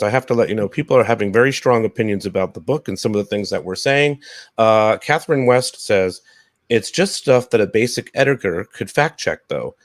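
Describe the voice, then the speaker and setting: A man's voice: medium pitch, no room sound, studio quality. A man in his thirties casually hosting a live talk show and reading out comments on a video call. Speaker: podcast host. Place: indoor room on a video call.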